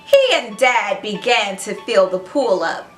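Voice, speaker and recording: A woman hosting a children's read-aloud, narrating in an animated, expressive storybook style and speaking directly to young listeners. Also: mid-range voice, a little slow, roomy sound, faint music behind.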